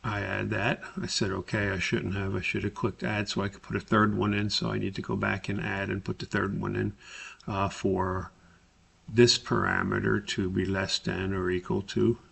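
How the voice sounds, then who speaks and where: A man narrating a step-by-step software tutorial as an instructor, explaining things in a deliberate, somewhat hesitant way. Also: fairly low pitch, creaky, slightly rough.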